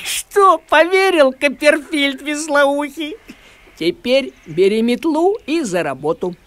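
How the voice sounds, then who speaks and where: An actor voicing a thrifty, sardonic farmer tomcat in a cartoon, bossy, shrewd and expressive, drawing out his words. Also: very high voice, slight background noise, exaggerated voice. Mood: mocking. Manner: mocking, drawn-out, expressive. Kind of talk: animated cartoon acting. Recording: music under the voice.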